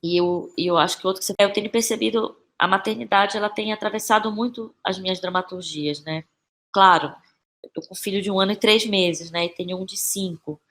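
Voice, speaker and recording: A Brazilian woman in her thirties speaking as a guest in a conversational podcast interview, thinking aloud haltingly and repeating phrases. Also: mid-range voice, fairly even intonation, a bit bright. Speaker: interview guest, playwright and actress.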